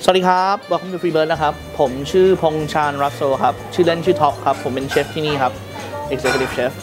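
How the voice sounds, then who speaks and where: A man in his thirties from Thailand, an executive chef, talking to camera in a restaurant kitchen on a lapel mic, relaxed and measured. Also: fairly high pitch. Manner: relaxed, mixes English terms, measured. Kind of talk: to-camera introduction. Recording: music bed under voice.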